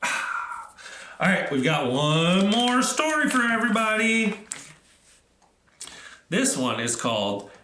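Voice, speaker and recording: Male children's storyteller, unhurried and expressive, lively pitch swings, simple phrasing, echoey room. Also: a little hiss or hum. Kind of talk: storybook narration and talk to children.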